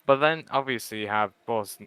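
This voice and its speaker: A man's voice: mid-range voice, moderate pace, fairly bright tone. A man whose first language is Bosnian, chatting casually as a co-commentator on a sim racing livestream and laughing easily. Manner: chatty, laughs easily, jumps in over others.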